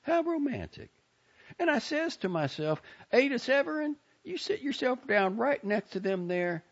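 Man doing a nosy older Southern lady, very high pitch, swooping, pious, folksy, self-satisfied, storybook character. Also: vocal fry, breathy edge, dull audio, full character voice. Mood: gushing, prying. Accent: American South.

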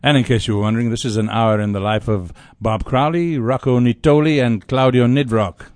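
A man's voice: medium pitch, fairly even intonation, fairly quick, darkish tone, no echo, studio quality. A man hosting a community radio show, chatting casually on air. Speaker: radio show host and storyteller. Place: radio studio.

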